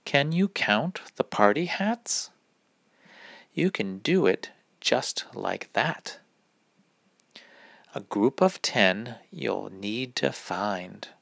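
Very slow, creaky-voiced man reading aloud. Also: fairly low pitch, lively intonation, a bit bright, no echo. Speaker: read-aloud reader. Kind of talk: reading children's rhyming math picture book aloud.

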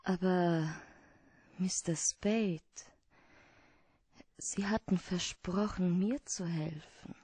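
An actress in a German radio play as a secretive, seductive femme fatale client, guarded and formally beseeching. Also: female voice, fairly low pitch, extremely slow, long pauses, mellow, husky, no echo, exaggerated voice. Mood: pleading, seductive.

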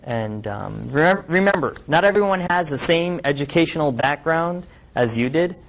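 A man's voice: fairly high pitch, lively intonation, moderate pace, creaky, low-quality recording. Hesitant young male doctor in a classroom. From New York. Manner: conversational, hesitant, with many ums and restarts. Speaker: physician speaking to medical students. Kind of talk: informal talk with questions from the audience. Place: classroom lab, indoors.

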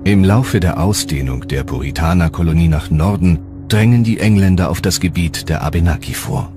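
A man's voice: deep voice, normal speed. A man reading scripted documentary narration as the narrator. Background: music.

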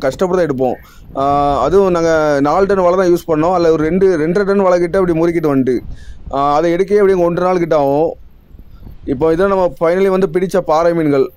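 A man's voice: sits high, darkish tone, dry recording. A young adult man with a Tamil accent, a fisherman explaining his work to viewers in a repetitive, direct manner. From India. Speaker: fisherman vlogger. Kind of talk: explaining narration over footage.

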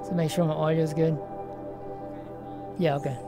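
Gravelly-voiced woman rambling through a stream. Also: sits low, flat delivery, moderate pace, music in the background. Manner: rambling, hesitant, lots of restarts. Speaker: game streamer. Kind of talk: casual live-stream commentary. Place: room at home, seated in a VR headset.

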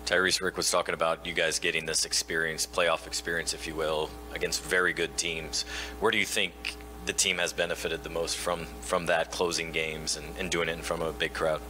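A man, a reporter, asking questions at a press conference in a measured way. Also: a bass voice, level tone, music in the background.

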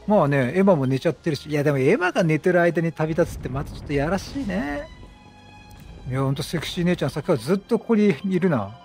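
A man giving casual let's-play commentary as a game streamer, chatty and quick with joking reactions. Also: fairly high pitch, big pitch swings, a little mellow. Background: game music.

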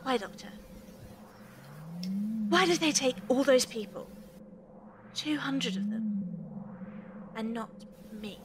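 Woman playing high, swooping young adventuress. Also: lively intonation, slow pace, long pauses. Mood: sad, subdued. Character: Charley, spirited young woman, time traveller's companion. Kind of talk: amateur audio drama acting. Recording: noisy, with ambience behind.

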